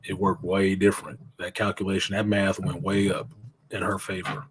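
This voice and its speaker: A man's voice: sits low, little pitch movement, moderate pace, slight background noise, poor audio. A middle-aged man speaking as an interview guest, telling his own story in a blunt, plainspoken way and cursing now and then.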